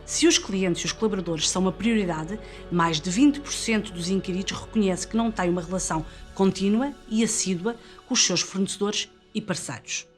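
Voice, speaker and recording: A woman's voice: mid-range voice, brisk. A woman narrator with a European Portuguese accent delivers a scripted business report. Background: music.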